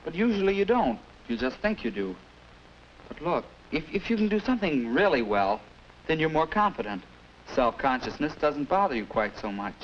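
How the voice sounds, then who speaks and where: A man's voice: a little high, sing-song, moderate pace, slight background noise, low-quality recording. A young American man playing a shy, earnest schoolboy with hesitant delivery in a 1950s educational film, on an old clicky soundtrack.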